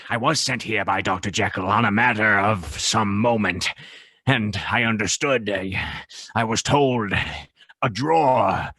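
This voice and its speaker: A man's voice: fairly low pitch, sharp tone, dry recording, heavily acted. A man playing a sinister, impatient, murderous alter ego in a gothic radio play, with a menacing, theatrical delivery. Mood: impatient, urgent. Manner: menacing, theatrical, slow and deliberate. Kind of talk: gothic radio drama acting.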